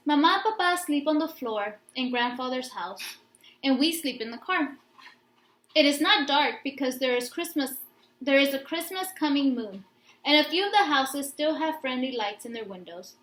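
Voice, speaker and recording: A woman's voice: high voice, expressive, normal speed, a bit bright. A woman reading a children's book aloud as narrator. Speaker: story reader. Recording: echoey room.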